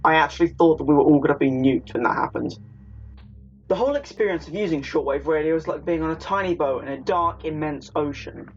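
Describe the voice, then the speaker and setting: A man's voice: fairly high pitch, fairly quick, slightly rough. A man narrating a video essay, partly scripted and partly casual. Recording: dull lo-fi audio with faint noise.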